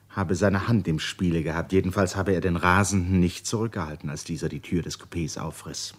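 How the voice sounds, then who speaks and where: An actor playing the solemn narrator of a literary radio play, husky-voiced, with measured, dramatic delivery. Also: male voice, low voice, darkish tone. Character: solemn male narrator of a Russian family saga.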